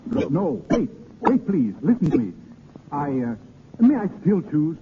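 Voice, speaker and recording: An actor playing an ardent, gallant vagabond poet posing as a lord, with theatrical, hesitant pauses, in a 1930s radio drama. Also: male voice, on the high side, very animated, heavy background noise. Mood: pleading, resigned. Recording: muffled 1930s radio broadcast recording.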